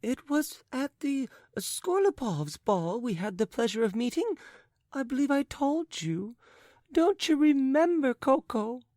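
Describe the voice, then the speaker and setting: A woman's voice: high-pitched, lively intonation, measured pace, a little mellow, dry recording, studio quality. A woman voicing a flighty, chattering society lady with breathless, fluttery speech. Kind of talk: audiobook character voice.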